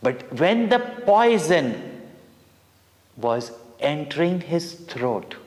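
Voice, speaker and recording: Slow-speaking Indian man in a reverberant hall. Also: on the high side, very animated, darkish tone. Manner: deliberate, dramatic, with short pauses between phrases. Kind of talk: devotional storytelling for children. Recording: long reverberant hall echo.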